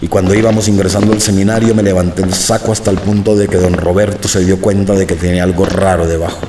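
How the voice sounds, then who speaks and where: A man narrating a radio drama in the first person, calm and reflective. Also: low voice, fast talker, noisy background. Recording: roomy, slightly distant mic.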